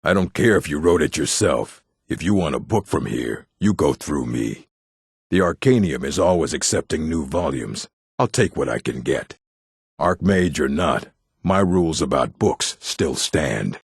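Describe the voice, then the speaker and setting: Stern orc, game character: very low, husky, commanding delivery with wide swings in pitch. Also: male voice, lively intonation, measured pace, dry recording, studio quality, full character voice. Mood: stern, threatening. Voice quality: gravelly, husky. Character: gruff male orc, stern bookish keeper and warrior. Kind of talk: video game character voice acting.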